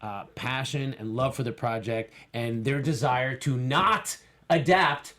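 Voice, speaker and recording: A man's voice: medium pitch, a little slow, a bit bright. A middle-aged man co-hosting a casual panel podcast in a small studio, speaking enthusiastically into a close mic. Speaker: podcast co-host. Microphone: close studio mic with foam cover.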